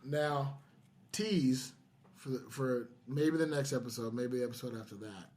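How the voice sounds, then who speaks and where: A middle-aged man co-hosts a podcast in a loose, casual chat. He jokes around and often interrupts himself mid-thought, with a mid-pitched voice. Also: a little slow, a little mellow. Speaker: podcast host. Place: indoors, in person with co-hosts.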